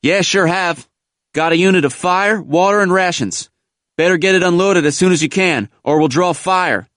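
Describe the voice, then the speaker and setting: A brisk male military tractor driver from a war memoir audiobook, acted out by a man narrating. He is slangy, blunt and anxious, pitched up and pushing with urgency. The sound is close and dry. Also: fairly bright tone, no echo. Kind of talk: audiobook character dialogue.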